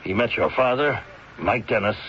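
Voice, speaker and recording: An actor playing a grave, resolute doctor making accusations in a measured 1970s radio mystery style. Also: male voice, on the low side, lively intonation, fairly bright tone. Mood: grave, insistent. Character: grave, resolute male doctor confronting a killer. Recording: muffled, noisy 1970s radio recording.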